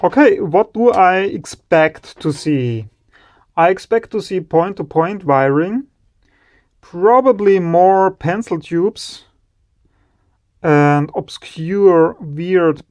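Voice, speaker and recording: Slow, admiring man. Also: high-pitched, animated, darkish tone. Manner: slow, admiring, savoring each part. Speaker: electronics video host. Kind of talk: casual teardown commentary.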